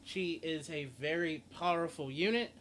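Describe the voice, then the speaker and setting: Higher-pitched man, casual gaming guide, noisy recording. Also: normal speed, fairly bright tone. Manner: rambling, repeats and rephrases himself. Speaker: gaming video host. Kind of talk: casual game character guide commentary. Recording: clearly noisy home recording.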